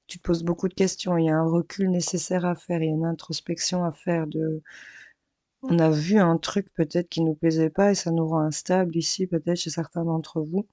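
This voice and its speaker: A hoarse woman losing her voice works through a daily tarot horoscope in a chatty, hesitant way, calling her viewers friends. Her delivery stays flat and even, with little rise or fall. The sound is dry and close, with no room echo, though the mic sits a little farther off. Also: low voice, normal speed. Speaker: tarot card reader. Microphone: mic placed farther away.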